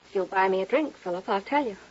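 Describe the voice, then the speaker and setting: A woman's voice: mid-range voice, animated, fast talker, lightly acted. An actress playing a wounded but resolute young saloon woman in an old-time radio western. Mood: brittle, defiant. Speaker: radio drama actor. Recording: dull old radio recording.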